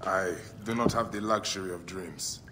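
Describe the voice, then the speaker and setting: A man's voice: low voice, steady tone, moderate pace, bright, forceful tone, slight background noise. A man playing a serious, protective warrior in a video game, speaking in a terse, earnest way. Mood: earnest, determined. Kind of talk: dramatic video game acting. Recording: music behind the voice.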